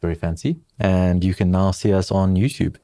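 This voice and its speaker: A man with a deep voice co-hosting a podcast, bantering and teasing in a casual way. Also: fairly even intonation, normal speed, dark tone. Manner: joking, teasing. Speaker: podcast co-host. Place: small podcast studio.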